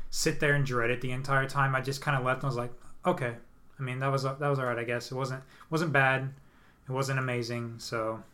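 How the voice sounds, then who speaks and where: A young man co-hosts a casual podcast in a loose, easygoing way. He pauses, restarts and leans on fillers as he gathers his thoughts. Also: medium pitch. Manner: relaxed, hesitant, lots of fillers. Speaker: podcast co-host. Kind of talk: casual chat.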